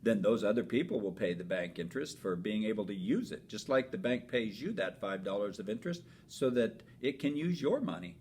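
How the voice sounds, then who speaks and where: A man's voice: lively intonation, brisk, hardly any pauses, a little mellow, close to an everyday voice. A man reading aloud as a patient, reasonable father in a storybook, explaining things with upbeat emphasis. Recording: noisy with low rumble.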